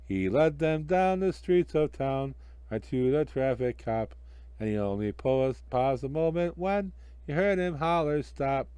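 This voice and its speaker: Man, amateur storyteller, children's narration, lively melody, soft warm tone, close dry sound. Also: on the high side, unhurried, clean tone, no room sound.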